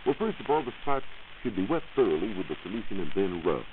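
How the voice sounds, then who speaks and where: Deep-voiced American man, old radio science reporter. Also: very animated, fast, phone-quality sound. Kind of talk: 1940s radio science explanation. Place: radio studio. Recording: hissy, band-limited 1940s radio recording.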